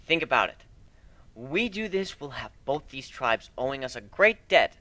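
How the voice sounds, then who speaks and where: A man's voice: medium pitch, sing-song, moderate pace, sharp tone, dry recording. A man playing a cocky griffin pirate captain and dragon slayer, speaking in a boastful, commanding, emphatic way. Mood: eager, planning.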